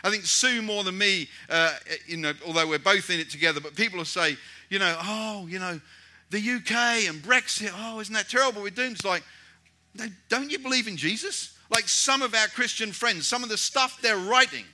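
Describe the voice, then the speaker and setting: A man's voice: high-pitched, moderate pace, bright, forceful tone. A man from Britain preaching a sermon in a conversational way, with many restarts and repeated rhetorical questions.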